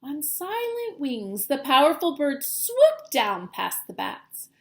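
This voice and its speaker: High-voiced woman reading a children's storybook expressively. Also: slow pace. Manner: expressive, dramatic storyteller delivery. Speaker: teacher. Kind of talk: children's picture book read-aloud.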